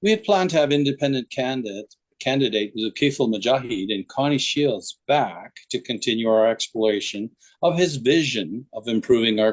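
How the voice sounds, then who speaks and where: A man speaks as the host of a podcast. His voice sits on the low side as he frames the discussion and puts questions to a guest. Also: low-quality recording. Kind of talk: podcast introduction and interview.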